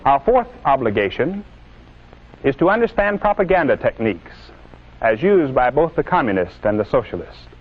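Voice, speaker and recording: A man's voice: mid-range voice, natural voice. An American man delivering an earnest, didactic scripted lecture in the manner of a 1950s propaganda film, with emphatic oratory. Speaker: presenter lecturing on citizenship. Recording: dull lo-fi 1950s film soundtrack.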